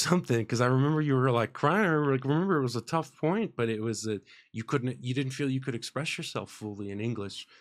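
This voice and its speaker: A young man from the United States hosts a podcast from a radio station studio, talking into a studio mic. He rambles in an easygoing, upbeat way and leans on fillers as he goes. His voice sits at an ordinary middle pitch for a man. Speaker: podcast host. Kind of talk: casual chat. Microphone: studio microphone with headphones.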